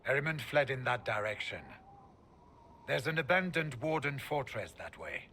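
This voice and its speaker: A man playing an earnest, sombre warrior of a knightly order in a fantasy game, speaking in a measured way. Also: mid-range voice, animated, sharp tone, a little hiss or hum. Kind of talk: fantasy game dialogue acting.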